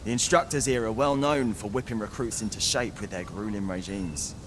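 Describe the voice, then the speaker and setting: A man's voice: fairly low pitch, brisk, noisy background, lightly acted. A man playing a dutiful, earnest town soldier in a fantasy game, speaking courteous archaic English. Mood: relieved.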